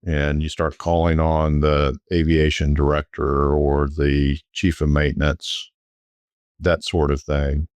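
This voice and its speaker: Very deep-voiced man telling stories. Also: slow pace, no room sound. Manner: relaxed, rambling with frequent restarts. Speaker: guest, former private jet sales executive. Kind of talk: conversational storytelling.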